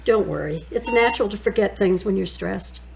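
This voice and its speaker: An actress playing a reassuring, clinical hospital nurse in an audio drama. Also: female voice, mid-range voice, moderate pace, darkish tone, natural voice. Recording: clearly noisy recording.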